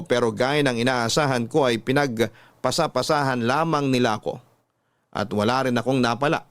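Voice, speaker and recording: A man's voice: medium pitch, clear, resonant tone, no echo, lightly acted. A Filipino man playing a regretful working-class father, telling his story in an earnest, confessional radio-drama narration.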